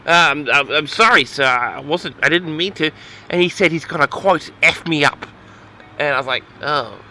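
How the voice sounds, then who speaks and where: Man, awkward rambling storyteller, very forceful tone, creaky fry, faint background ambience. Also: on the high side, normal speed, faint music behind. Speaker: host of an audio log.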